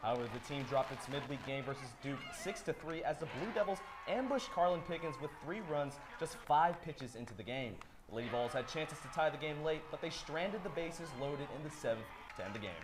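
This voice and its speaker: A young man reading a sports report as a student anchor, with a steady, even delivery. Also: on the high side, brisk, hardly any pauses, background noise. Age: young adult. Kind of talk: read news script.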